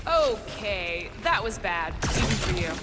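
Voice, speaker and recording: An actress playing a tough, level-headed alien warrior in a video game, dry and practical in manner. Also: female voice, medium pitch, very animated, unhurried, in a large hall, over loud music. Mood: wry. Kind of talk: video game cutscene voice acting.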